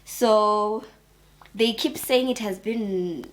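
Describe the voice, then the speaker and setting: A woman with a mid-range voice speaks earnestly in a casual chat. She comes across as agreeable and is quick to laugh. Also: medium pitch, low-quality recording. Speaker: co-host.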